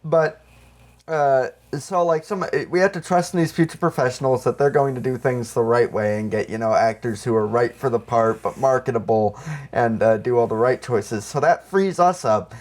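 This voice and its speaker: A man with a medium-pitched voice chats casually as a podcast co-host. He rambles and stalls as he hunts for words, and swears freely in a comedic film-talk style. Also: moderate pace.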